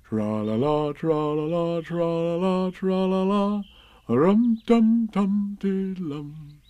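A man voicing a boy who sings nonsense syllables in a toy bear's voice, drawn-out and carefree, for a children's story. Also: high voice, warm tone, no room sound, voice pushed up, heavily acted. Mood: carefree, singing. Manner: sing-song, drawn-out humming. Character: boy singing in a slow, mellow toy-bear voice.

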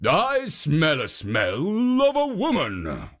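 A narrator voicing a hungry ogre who talks slowly, sounding suspicious and falsely polite. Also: medium pitch, huge pitch swings, fairly bright tone, pitched above the performer's own voice, heavily acted. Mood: sly.

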